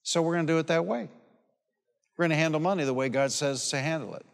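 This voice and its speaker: A man's voice: on the high side, fast talker, gravelly. A man of sixty-seven preaching a sermon in a folksy, emphatic way, repeating phrases and telling personal stories. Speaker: pastor preaching. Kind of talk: sermon with personal anecdotes and calls for amen. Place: large hall or church, preaching to a congregation. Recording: long hall reverb on live sermon.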